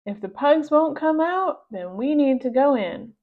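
A woman's voice: sits high, lively intonation, measured pace, narrow, tinny audio, pitched above the performer's own voice. A woman voicing a bold young storybook heroine, bossy and emphatic. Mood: determined.

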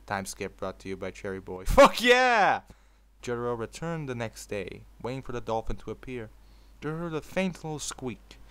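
A man's voice: medium pitch, big pitch swings, normal speed, fairly bright tone, smooth. A man in an informal, sweary manner, hosting a video and moving between casual commentary and storytelling. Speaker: video host reading fan fiction aloud.

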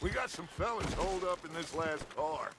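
An actor playing a commanding, theatrical outlaw gang leader in a western video game. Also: male voice, fairly high pitch, lively intonation, moderate pace, close to an everyday voice. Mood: firm, calculating. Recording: game audio under loud effects noise.

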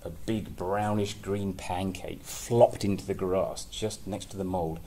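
A man's voice: low-pitched, steady tone, unhurried, mellow. A man in his thirties reading a picture book expressively, with playful, chatty asides. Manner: playful, chatty asides between read passages. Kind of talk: expressive children's story reading with casual asides. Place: small plain indoor office-like room. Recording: clearly noisy room recording.

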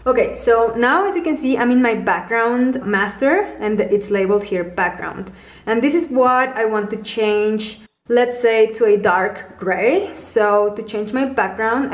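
A woman hosting a tutorial, patiently explaining each step as she works on a tablet. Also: on the high side. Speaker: tutorial host. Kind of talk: step-by-step app tutorial narration. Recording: roomy echo.

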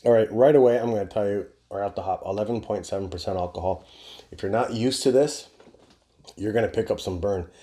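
A man's voice: fairly low pitch, dark tone. A man in his forties chatting casually to camera as a reviewer, enthusiastic and rambling, with casual swearing. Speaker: beer reviewer, video host. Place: indoor home bar room.

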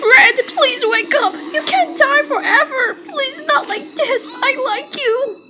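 A woman's voice: extremely high pitch, slow, distant mic, heavy background noise. A woman voicing a frightened young boy hero in an amateur game fandub. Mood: pleading. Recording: loud music over the voice.

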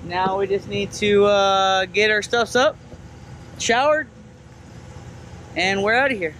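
A man in his thirties talking casually to an action camera as he fishes from a kayak outdoors, chatty and excited as he coaxes a fish in. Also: sits high, slow, slight background noise. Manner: chatty, excited coaxing while reeling in fish. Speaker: kayak fishing vlogger. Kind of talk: casual narration while fishing. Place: outdoors on a kayak and at a waterside lot. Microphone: action camera mounted on the kayak and handheld.

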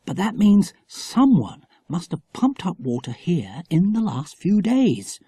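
A man voices a forthright schoolboy in a children's storybook. He sounds earnest and questioning, pitched up, with a slightly husky, soft tone. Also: wildly swooping pitch, slow, no echo, clean studio sound, exaggerated voice. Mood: thoughtful.

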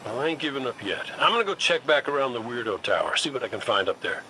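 A man's voice: mid-range voice, lively intonation, fast talker, pushed and bright, a little hiss or hum, low-quality recording. A man playing a cocky gunslinger robot in a video game, talking in a wry, folksy, colloquial way. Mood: determined. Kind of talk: scripted video game dialogue.

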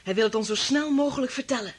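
High, very husky, anguished woman. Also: fast. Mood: dread. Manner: intense, emotional. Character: anguished, intelligent telepathic woman, strained and fearful. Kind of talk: dramatic radio play acting.

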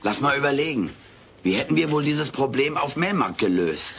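A man's voice: medium pitch, lively intonation, moderate pace, noisy background, natural voice. A man playing a wisecracking, meddling furry alien houseguest in a theatrical, mock-grand manner, in a dubbed sitcom audio play. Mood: worried, scheming.

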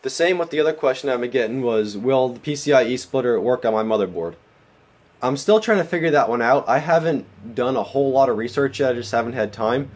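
A man with a bit of a cold, casually walking through his home computer build in a matter-of-fact way, filming with a phone. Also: medium pitch. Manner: plain, matter-of-fact, with fillers like uh and um. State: getting a cold. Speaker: tech hobbyist vlogger. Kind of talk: casual show-and-tell walkthrough. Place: home room with a mining rig.

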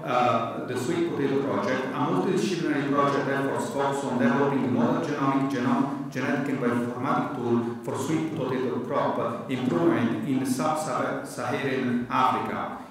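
Hesitant man in an echoey hall. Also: medium pitch. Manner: hesitant, many fillers and restarts. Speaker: introducer at a conference. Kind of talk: formal introduction speech. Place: large hall. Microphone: handheld mic at a lectern. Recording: echoey hall, far from the mic.

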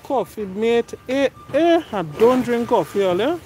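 A woman's voice: fairly high pitch, sing-song, unhurried, loud music behind the voice. A middle-aged woman, chatting to viewers and reading prices aloud while shopping in a big warehouse store, filmed on a phone. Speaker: vlogger. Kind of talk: casual shop-with-me chat. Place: large warehouse store, indoors. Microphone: phone camera held while pushing a cart.

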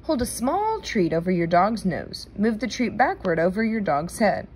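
A woman reading a children's nonfiction book aloud in a careful, deliberate manner. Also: medium pitch, sing-song, darkish tone, slight background noise. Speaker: read-aloud reader.

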